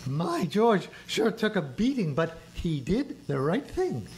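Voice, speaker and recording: A woman voicing a gentle, eager male angel centuries old, in a community radio play. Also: on the low side, big pitch swings, slow, slight background noise, exaggerated voice. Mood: mild.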